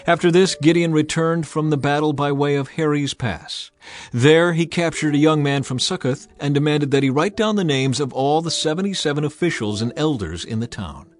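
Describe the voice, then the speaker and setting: A man's voice: on the high side, moderate pace. A man narrating a dramatized Bible audiobook in a scripted storytelling style.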